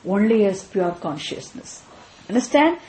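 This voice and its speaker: A woman's voice: mid-range voice, big pitch swings, unhurried, a little mellow, roomy sound, poor audio. Tamil-accented Indian woman teaching Vedanta. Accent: Indian English, Tamil. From Tamil Nadu, India. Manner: checks with listeners often, repeats key phrases. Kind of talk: spiritual class talk.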